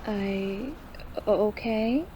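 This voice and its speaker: Un homme imitant une jeune femme timide qui bégaie, débit lent, timbre doux, léger bruit de fond. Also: male voice, high-pitched, fairly even intonation, very slow, background noise, pitched above the performer's own voice. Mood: nervous. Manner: hesitant, apologetic stammer. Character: timid young woman, girlfriend.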